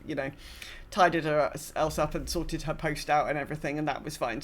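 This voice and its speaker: A woman podcast host chatting casually about gaming, telling stories in a rambling, self-correcting way. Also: low voice.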